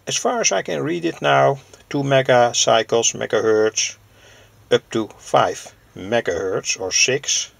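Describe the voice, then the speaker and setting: A man from Europe presents an informal hobbyist demonstration at a slow, deliberate pace. His medium-pitched voice has a lot of creak. He speaks hesitantly, stopping often in the middle of sentences. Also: fairly bright tone, no room sound. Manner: halting, hesitant, pausing mid-sentence. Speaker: presenter, vintage radio hobbyist.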